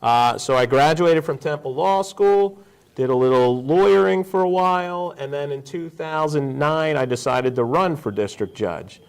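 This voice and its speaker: A man's voice: fairly high pitch, lively intonation, moderate pace, smooth, low-quality recording. A man in his forties speaking as a guest on a casual talk show, telling stories in a joking way. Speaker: guest, district judge.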